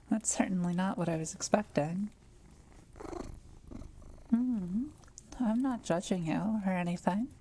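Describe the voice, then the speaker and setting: A woman playing a kind, thoughtful witch who is proud of her skill, speaking gently and a little hesitantly to the listener in an intimate audio roleplay. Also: on the low side, gravelly, slight background noise, rough recording. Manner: gentle, hesitant, explanatory musing with small hums.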